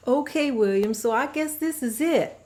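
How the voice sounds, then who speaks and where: A woman's voice: sits high, moderate pace, a little mellow, lightly acted. A woman reading the part of Miss Van Loo, a kind, gracious mistress of an estate, in a table read.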